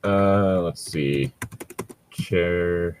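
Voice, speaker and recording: A man co-hosting a casual podcast, riffing and joking in a profane, giggly, rambling way with a husky voice. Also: sits low, drawn-out words, noisy background. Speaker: co-host of a podcast.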